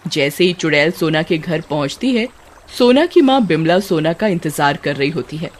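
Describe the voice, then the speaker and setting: A woman's voice: low voice, lively intonation, normal speed. A woman storyteller giving scripted narration of a horror tale.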